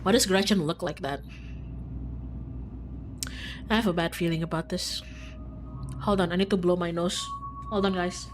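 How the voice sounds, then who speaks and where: A woman's voice: fairly low pitch, steady tone, normal speed, pauses often, noisy background. Woman streamer reading a visual novel. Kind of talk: reading visual novel narration aloud with casual reactions.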